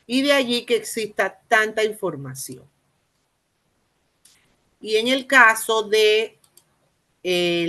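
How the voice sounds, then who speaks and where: A woman giving a spoken lecture on education, explaining slowly with many fillers and restarts and addressing her listeners formally. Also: medium pitch, a bit bright. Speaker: university lecturer.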